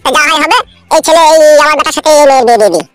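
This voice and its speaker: A woman's voice: high voice, very fast, no room sound, soft background music, natural voice. A woman voicing an aggrieved, foul-mouthed young cartoon son-in-law who argues and complains sarcastically. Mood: sulky accusing. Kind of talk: crude comedy cartoon dubbing.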